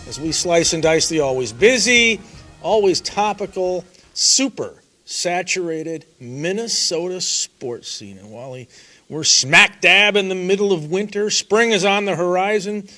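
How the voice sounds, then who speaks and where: A man's voice: high voice, lively intonation, unhurried, pushed and bright, soft background music. Man co-hosting a sports radio chat. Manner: opinionated, folksy sports banter. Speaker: sports talk show co-host.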